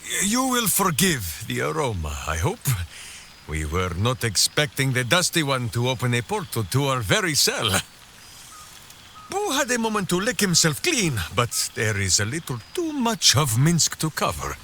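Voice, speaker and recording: An actor playing a hearty, simple-minded big warrior in a video game, boisterous and theatrical, speaking of himself in the third person. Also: male voice, mid-range voice, wildly swooping pitch, moderate pace, bright, forceful tone, slight background noise, exaggerated voice. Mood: sheepish, dramatic. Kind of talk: video game character acting.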